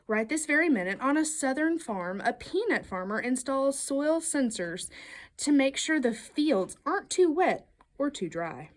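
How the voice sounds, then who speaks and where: A woman reads a children's picture book aloud with a fairly high voice. Her pitch moves up and down in a lively way, and she stresses key words like a storyteller engaging young listeners. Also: unhurried. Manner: expressive, emphatic storytelling delivery with playful questions. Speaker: storybook reader.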